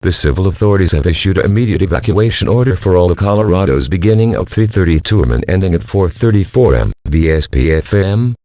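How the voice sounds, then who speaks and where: A man's voice: low voice, expressive, fast, few pauses, dry recording. A synthetic-sounding man reading a scripted evacuation alert, formal, stilted and impersonal. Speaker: emergency alert announcer. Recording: dull, dry lo-fi alert audio.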